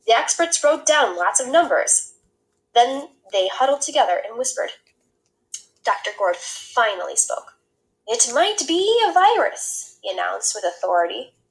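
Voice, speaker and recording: A woman reading a picture book aloud as a storyteller, with an animated, dramatic delivery. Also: a little high, normal speed, vocal fry, poor audio. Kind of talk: expressive children's read-aloud narration.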